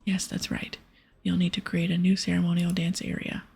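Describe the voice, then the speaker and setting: A woman voicing a stern fantasy-game adventurer in a breathy, firm whisper. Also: lowish voice, completely flat delivery, normal speed, darkish tone, heavily acted. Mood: matter-of-fact. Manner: firm, accusing. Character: stern adventurer questioning and scolding a wrongdoer.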